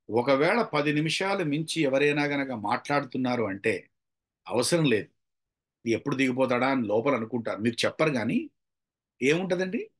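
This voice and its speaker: A middle-aged man with a Telugu accent speaks as a public speaking trainer in a somewhat high voice. He lectures conversationally, poses rhetorical questions to his listeners and slips English phrases into his talk. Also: a bit bright. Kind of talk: informal lecture with acted examples.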